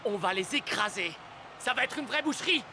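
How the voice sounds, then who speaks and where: A man's voice: very high voice, lively intonation, a little fast, background noise. An actor playing a cocky, boastful young male footballer in a cartoon. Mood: gloating.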